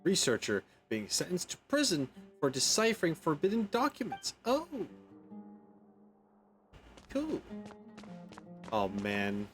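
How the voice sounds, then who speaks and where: A man's voice: on the high side, wildly swooping pitch, slow, long pauses, fairly bright tone. Casual male game streamer with music behind him. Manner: informal, rambling, thinks aloud, mild swearing. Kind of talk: casual let's play commentary, reading in-game text aloud.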